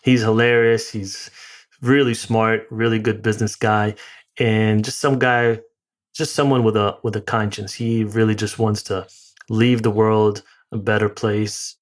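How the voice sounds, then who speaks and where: A man's voice: lowish voice, flat delivery, a little slow. Man hosting a casual podcast. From United States. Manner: friendly, restarts often, conversational. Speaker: podcast host. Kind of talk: casual interview chat.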